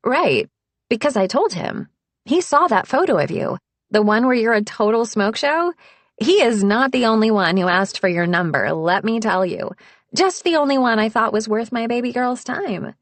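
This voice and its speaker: A woman's voice: fairly high pitch, very animated, no room sound, pitched above the performer's own voice. Woman voicing a bubbly chatty young best friend. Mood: cheerful. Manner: rapid cheerful chatter. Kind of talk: audiobook character voice.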